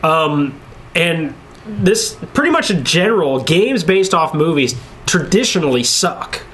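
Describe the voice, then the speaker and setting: A man's voice: a little high, sing-song, unhurried, a bit bright. A man co-hosting an entertainment show in casual back-and-forth banter. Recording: clearly noisy room recording.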